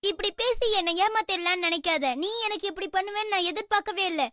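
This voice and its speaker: A woman's voice: extremely high pitch, fast talker, bright, forceful tone, no echo, studio quality. A woman voicing a stern magical cat boy who lectures and scolds in a dubbed cartoon. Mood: reproachful. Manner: scolding, instructive.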